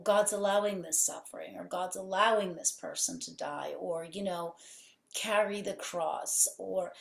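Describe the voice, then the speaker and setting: A woman guest on a podcast who runs a healing center. She speaks fervently and with emphasis, piling up repeated phrases. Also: mid-range voice. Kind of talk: conversational interview. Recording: roomy echo.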